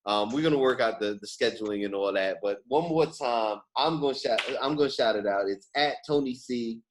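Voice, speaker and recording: A man hosting a podcast in casual chat, informal and animated, repeating phrases for emphasis. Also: mid-range voice, a little fast. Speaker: podcast host.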